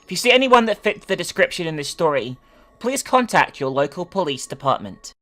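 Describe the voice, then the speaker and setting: Female online host with a lowish voice, casual yet able to narrate dramatically, music underneath. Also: sing-song, fairly quick, a bit bright. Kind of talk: casual commentary and dramatic story reading. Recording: music behind the voice.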